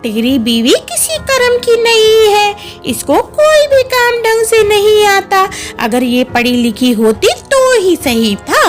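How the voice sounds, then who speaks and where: A woman voicing a cranky, fault-finding older mother-in-law bird with a bossy, drawn-out cartoon delivery. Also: very high-pitched, sing-song, over music, heavily acted. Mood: scolding, irritated.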